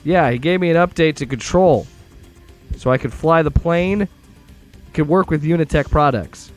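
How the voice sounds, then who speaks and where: Casting: man playing blunt agency director, fairly high male voice, exasperated and conversational, audio drama with music behind. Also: normal speed, lightly acted. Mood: irritated, blunt.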